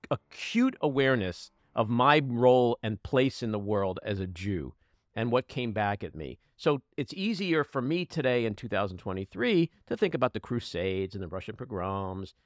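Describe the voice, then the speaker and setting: A man's voice: mid-range voice, sing-song, moderate pace, no room sound, clean studio sound. A man in his sixties hosting a casual political conversation. He talks passionately and bluntly, swears freely, and pokes fun at his own age. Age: 60s. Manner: self-mocking about his age. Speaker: podcast host.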